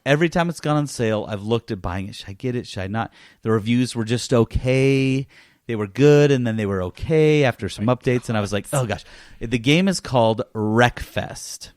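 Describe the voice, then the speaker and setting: A man's voice: medium pitch, smooth, no echo, studio quality. A man hosting a casual gaming podcast, telling stories in an enthusiastic, emphatic way. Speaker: podcast host.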